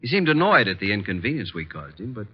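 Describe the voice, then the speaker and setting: Low-voiced wisecracking detective's sidekick, vintage radio. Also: male voice, sing-song, fast. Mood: wry. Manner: wry, breezy, smooth-talking. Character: flirtatious wisecracking male detective's assistant, narrating the case. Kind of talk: 1940s-50s radio detective drama acting and narration. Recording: dull vintage radio recording, music.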